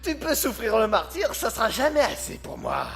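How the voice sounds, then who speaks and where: A man playing a menacing, mocking voice that haunts a killer's mind in an audio drama, with drawn-out theatrical delivery. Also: very high-pitched, wildly swooping pitch, fairly bright tone, heavily acted. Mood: gloating. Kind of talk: amateur audio drama acting.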